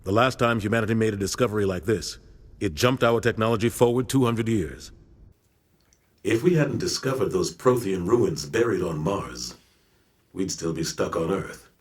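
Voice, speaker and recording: An actor playing a heroic military commander in a space opera game, speaking gravely and declaiming. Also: male voice, lowish voice, normal speed. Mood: solemn. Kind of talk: video game dialogue. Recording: long reverb on the voice.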